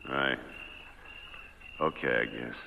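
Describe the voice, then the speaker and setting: An actor playing an anxious, haunted man in a 1940s radio suspense drama, speaking in a halting, earnest way. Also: extremely low pitch, gravelly, noisy background, full character voice. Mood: weary, friendly. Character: anxious, haunted man, narrator and intended murder victim. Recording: dull vintage 1940s radio, music behind.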